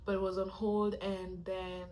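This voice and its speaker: A soft-spoken young woman, chatting casually to camera as she tells a story and acts out how people react to her. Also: flat delivery, unhurried, slight background noise. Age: young adult. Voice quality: soft-spoken, low-volume voice. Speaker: vlogger.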